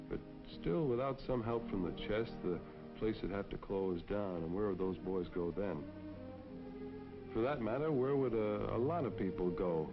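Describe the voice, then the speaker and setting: Man playing a low-voiced folksy American policeman, old film. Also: lightly acted. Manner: folksy, earnest, gently persuasive. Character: kindly, earnest neighborhood policeman, a man. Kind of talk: 1940s propaganda film acting and narration. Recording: dull vintage film soundtrack, music behind. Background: music.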